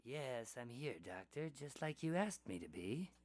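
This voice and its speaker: A man playing a timid, obedient mortuary assistant in an audio drama, meek, halting and confused. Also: mid-range voice, sing-song, a little mellow, no room sound. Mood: meek, bewildered. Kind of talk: modern audio drama acting.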